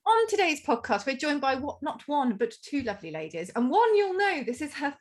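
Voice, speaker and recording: A woman's voice: high-pitched, sing-song, a little fast. Chatty, enthusiastic woman podcast host. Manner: chatty, enthusiastic, self-deprecating. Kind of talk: conversational podcast interview.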